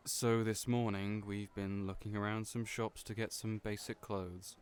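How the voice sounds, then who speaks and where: A man playing a kind, gentle friend in an audio roleplay, who speaks hesitantly with frequent fillers. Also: fairly low pitch, level tone, measured pace, clean tone, close to an everyday voice.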